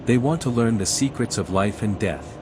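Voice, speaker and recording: A man playing a composed, scholarly envoy in a video game, speaking in a measured, articulate way. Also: lowish voice, noisy background, lightly acted. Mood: thoughtful. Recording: music behind the voice. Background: music.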